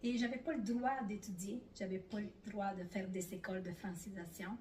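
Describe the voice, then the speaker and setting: Woman with an Italian accent, native Italian speaker, halting speech with frequent fillers, echoey room, set back from the mic. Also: medium pitch, steady tone, mellow, far from the mic, a little hiss or hum. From Italy. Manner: hesitant, many fillers. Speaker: guest. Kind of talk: casual interview.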